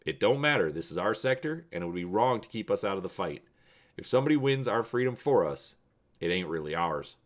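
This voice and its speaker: A man's voice: on the low side, lively intonation, a little fast, natural voice. A man voicing a stubborn, cheerful old prospector with blunt, folksy speech in a dramatic reading of a space adventure. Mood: folksy, challenging.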